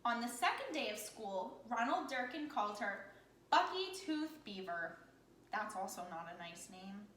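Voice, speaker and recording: A woman reading a children's story aloud as a teacher, in an expressive, encouraging manner with questions for the listener. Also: a little high, unhurried. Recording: echoey room with faint noise.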